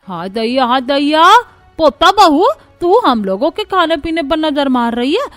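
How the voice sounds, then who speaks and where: A domineering old grandmother from a family cartoon, played by a woman, high in pitch and quick to scold. She orders the household about with exclamations and a greedy appetite, over background music. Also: sing-song, fairly bright tone, full character voice. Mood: indignant. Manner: commanding, exclamatory rustic scolding. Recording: music bed under the voice.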